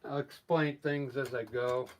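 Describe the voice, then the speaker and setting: A man with a scratchy voice from allergies, painting live and explaining his steps in a relaxed, thinking-aloud way. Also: mid-range voice, a little slow. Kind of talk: casual live painting demonstration.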